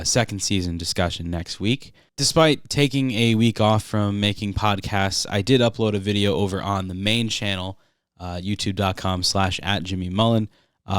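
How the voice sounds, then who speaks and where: A young man hosting his own podcast in a relaxed, rambling way, a little congested, with lots of "uh" fillers. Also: lowish voice, clear, resonant tone, no echo, clean studio sound. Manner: relaxed, filler-heavy, frequent "uh". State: congested from recent illness. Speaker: podcast host. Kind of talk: casual solo podcast review.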